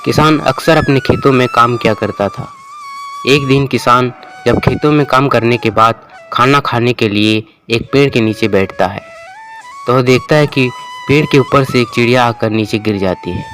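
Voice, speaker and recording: A man's voice: medium pitch, huge pitch swings, normal speed, fairly bright tone. A man narrating a scripted moral tale as a storyteller. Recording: music bed under the voice.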